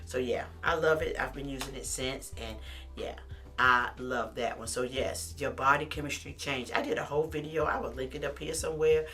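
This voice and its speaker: A middle-aged woman with a deep voice, vlogging to camera in a chatty, animated way and calling the viewer honey. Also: moderate pace. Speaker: lifestyle vlogger. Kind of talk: casual talk to camera.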